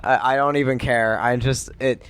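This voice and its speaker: A man talking casually as a player in a tabletop game, halting and repeating his words. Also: medium pitch, fairly even intonation, fairly bright tone.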